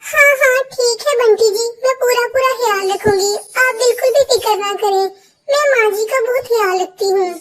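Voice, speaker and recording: A tiny, childlike sparrow daughter-in-law from a storybook cartoon. Her voice sits extremely high. She sounds like a dutiful young bird with a sly streak. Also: normal speed, fairly bright tone. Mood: sweetly reassuring. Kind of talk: Hindi cartoon voice acting.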